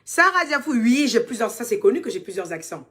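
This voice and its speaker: A young woman with an Ivorian French accent, chatting casually as she reads out fan questions and answers them, teasing and affectionate, sometimes dropping to soft, quiet asides. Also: a little high, sing-song, brisk. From Côte d'Ivoire. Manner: chatty and teasing, calls fans "ma chérie". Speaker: social media personality answering fan questions. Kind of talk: casual live Q&A reading viewer comments.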